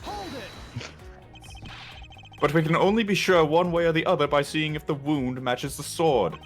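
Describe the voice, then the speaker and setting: A man's voice: on the high side, normal speed, soft background music, natural voice. A man voicing a composed, determined prosecutor in a video game fan dub, speaking formally and dramatically. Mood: sharp objection. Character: composed, haughty male prosecutor, sharp and determined.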